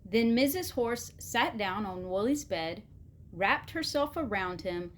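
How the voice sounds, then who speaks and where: A woman reading a children's storybook as expressive scripted narration, deliberately, pausing between short phrases. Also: medium pitch, a little hiss or hum. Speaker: storybook reader.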